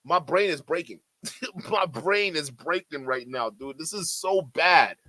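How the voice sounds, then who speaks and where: A man's voice: on the high side, expressive, unhurried, bright, forceful tone, no room sound. A man reacting live to a stream, exasperated and incredulous, talking straight to his chat. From Nigeria. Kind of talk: livestream reaction commentary.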